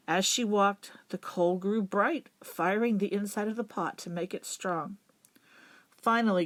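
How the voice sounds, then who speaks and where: A woman reading a children's folktale as a storyteller, with dramatic, deliberate emphasis. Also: mid-range voice. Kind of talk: expressive children's folktale narration.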